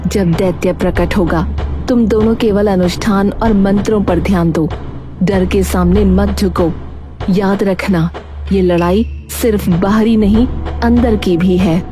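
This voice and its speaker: A woman's voice: medium pitch, steady tone, unhurried, lightly acted. A woman voicing a protective village goddess in a cartoon story, firm and commanding. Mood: instructive. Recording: music playing underneath.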